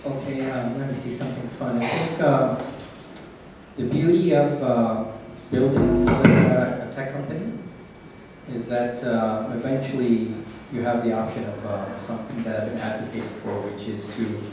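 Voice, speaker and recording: A man's voice: mid-range voice, fairly even intonation, normal speed. Male entrepreneur from Chile, playful contrarian, echoey distant mic. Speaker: entrepreneur panelist. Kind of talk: panel discussion. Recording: echoey room, distant mic, background noise.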